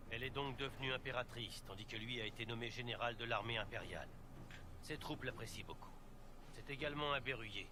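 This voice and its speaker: An actor playing a composed male advisor in a fantasy video game, giving formal, measured explanations. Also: mid-range voice, natural voice. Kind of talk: scripted game dialogue, French dub. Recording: very noisy game audio capture.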